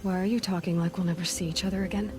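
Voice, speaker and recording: An actress playing a stubborn, determined young outcast hunter in a video game, speaking directly and earnestly. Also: female voice, fairly low pitch, fairly even intonation, racing, close to an everyday voice. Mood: pleading, defiant. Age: young adult. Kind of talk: naturalistic video game acting. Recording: music behind the voice.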